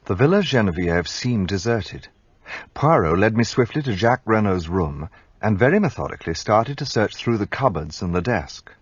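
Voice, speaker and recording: An actor narrating as the detective's companion in a radio play, measured storytelling. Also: male voice, fairly low pitch, very animated, husky, no echo. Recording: dull lo-fi sound.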